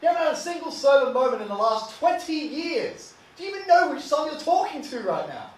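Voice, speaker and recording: A man playing a bitter, wounded son of an alcoholic father in a dramatic monologue, speaking in a brooding, emotional, halting way. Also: mid-range voice, natural voice. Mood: outraged. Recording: distant mic, echoey room, faint hiss.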